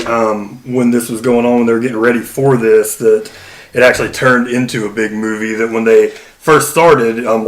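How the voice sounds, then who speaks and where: A man co-hosting a podcast, chatting in a relaxed way with plenty of fillers. His mid-pitched voice sounds roomy and echoey. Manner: relaxed, loosely adds to the discussion. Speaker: podcast co-host. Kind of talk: casual chat.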